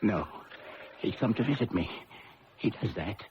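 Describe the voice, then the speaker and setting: A man playing a shifty, sardonic twin in a 1970s radio drama, with creaky, theatrical delivery on a muffled, noisy recording. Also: lowish voice, expressive, measured pace. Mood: shaken. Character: shifty, sardonic male twin, short of money.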